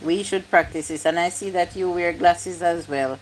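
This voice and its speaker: A woman's voice: on the low side, steady tone, normal speed, a little hiss or hum, poor audio. A woman, chatting casually on a livestream, reading comments aloud and repeating her points. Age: 50s. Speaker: YouTube live streamer.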